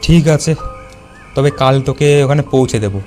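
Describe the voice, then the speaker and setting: A male actor voices a cautious young village schoolteacher from a horror cartoon. He speaks earnestly, with a worried, cautioning manner and a middling male pitch. Music plays underneath. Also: normal speed, a little mellow, lightly acted. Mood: worried, warning. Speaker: voice actor in an animated horror story. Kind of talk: acted cartoon dialogue.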